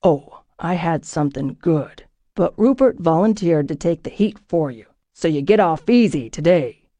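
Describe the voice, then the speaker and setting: A woman voicing a gruff, menacing ship captain. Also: expressive, normal speed, dark tone, no room sound. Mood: cold. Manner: low, menacing, clipped commands. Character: stern, gruff middle-aged male ship captain. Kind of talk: audiobook character voice.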